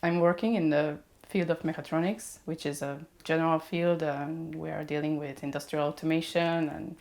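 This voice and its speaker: Serbian woman with a low gravelly voice. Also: steady tone, normal speed, a little mellow. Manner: explains patiently with many hesitations. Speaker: guest; mechatronics engineer. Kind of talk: podcast interview.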